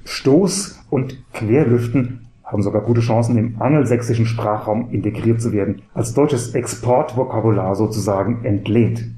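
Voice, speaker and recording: A bookish male teacher played by a man in a comedy audio play. His mellow, mid-pitched voice is measured and wry, heard in an echoey room. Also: natural voice. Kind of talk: scripted cabaret audio play.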